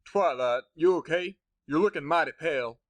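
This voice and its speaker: A man's voice: fairly high pitch, moderate pace, dry recording, natural voice. A man voicing a friendly, plain-spoken farm pony with a Southern US accent and a laconic, folksy manner in a storybook audio drama. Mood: concerned.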